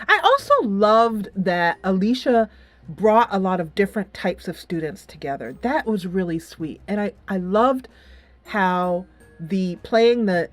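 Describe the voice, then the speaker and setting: Expressive middle-aged woman reviewing games casually. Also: medium pitch, no room sound. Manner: animated, giggly, emphatic with drawn-out words. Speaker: game reviewer and video host. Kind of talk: casual spoken video game review.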